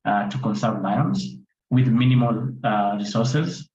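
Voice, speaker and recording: A man's voice: on the low side, animated, unhurried, a little mellow, a little hiss or hum. A man with a Kenyan accent explaining his approach as a panelist, repeating points for emphasis and posing rhetorical questions. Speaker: conservation photographer and filmmaker, panelist. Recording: dull, roomy online-call audio.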